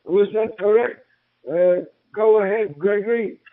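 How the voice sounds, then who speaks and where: Male radio amateur, high voice, unhurried and halting, thin transmission sound. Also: no room sound. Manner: courteous, hesitant, repeats names and call signs. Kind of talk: ham radio contact, call signs and greetings. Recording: tinny phone-like radio transmission.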